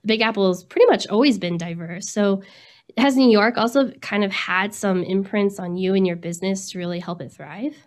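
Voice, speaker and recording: A woman with a medium-pitched voice co-hosts an interview podcast. She is from Colorado and talks in an upbeat, chatty way, often laughing along. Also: fairly quick. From Colorado, United States. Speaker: podcast co-host. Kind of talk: casual interview chat.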